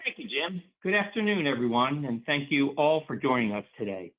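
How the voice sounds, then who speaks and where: A man's voice: mid-range voice, expressive, a little fast. A man speaking as a chief executive, delivering formal prepared remarks. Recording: thin phone-like conference line.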